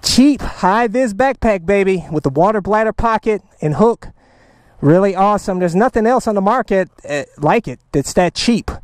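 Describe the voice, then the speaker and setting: Excited man vlogging from a parking lot. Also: high voice, normal speed, no room sound. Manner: enthusiastic, salesy, slangy with "man" and "baby", many fillers. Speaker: motovlogger reviewing gear. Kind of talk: casual moto vlog review. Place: outdoors in a parking lot beside his motorcycle.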